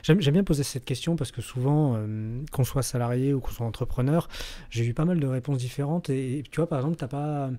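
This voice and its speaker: A man's voice: medium pitch, brisk, mellow. A man hosting a podcast interview, asking questions casually, with many fillers and restarts. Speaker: podcast host.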